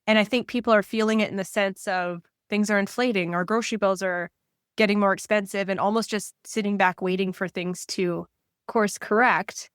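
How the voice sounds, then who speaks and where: A woman's voice: medium pitch, fairly even intonation, normal speed, smooth, dry recording, clean studio sound. A woman hosting a podcast, eager and enthusiastic as she talks with her guest. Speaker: podcast host. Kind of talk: podcast interview.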